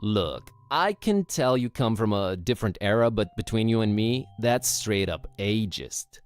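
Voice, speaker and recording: A man playing an easygoing, slangy young student librarian in an animated video game, casual and wryly dismissive. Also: fairly low pitch, unhurried, clean tone, no echo. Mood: wry, chatty.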